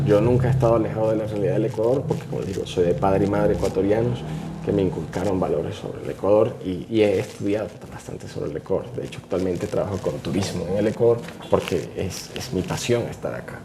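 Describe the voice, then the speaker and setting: A man, a vlogger giving emphatic, opinionated political commentary to camera, sometimes rushing and sometimes slowing for stress. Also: low-pitched, background noise. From Venezuela. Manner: emphatic, opinionated, varying pace.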